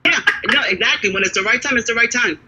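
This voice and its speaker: Upbeat persuasive woman, bright forceful voice. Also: fairly high pitch, fast, breathy edge, highs cut off. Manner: upbeat and persuasive, peppered with "right?". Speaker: financial advisor, guest. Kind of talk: enthusiastic casual pitch on a live video chat.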